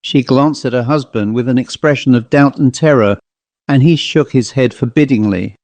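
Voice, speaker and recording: Measured male storyteller, close and dry. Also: mid-range voice, a little mellow, no echo. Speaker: audiobook narrator. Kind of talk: scripted literary narration of a ghost story.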